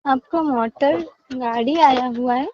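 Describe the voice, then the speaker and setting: A woman's voice: high-pitched, takes it slowly, darkish tone, no room sound. A woman customer care agent on a phone call, polite and businesslike. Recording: muffled phone call recording.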